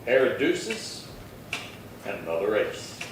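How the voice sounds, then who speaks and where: An actor playing a calm, dry, unhurried Old West marshal in a radio drama. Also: male voice, on the low side, full character voice. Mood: dry, wry. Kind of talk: old-time western radio acting. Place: live theatre stage performance. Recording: very roomy, distant stage pickup, faint noise.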